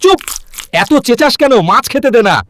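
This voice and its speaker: A man's voice: very high-pitched, big pitch swings, fairly quick, fairly bright tone, slight background noise, voice pushed up, heavily acted. A man voicing a greedy, cheeky but harmless fish-loving ghost in a comic horror cartoon, savouring and exclaiming. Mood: annoyed. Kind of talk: animated comic horror story.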